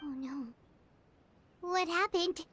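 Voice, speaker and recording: An actress voicing a tiny, childlike fairy companion in a game, with a squeaky voice and an earnest, inquisitive, expressive manner. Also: female voice, slow pace, slight background noise, full character voice. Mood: dismayed. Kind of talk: cute game character acting. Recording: music clearly behind the voice.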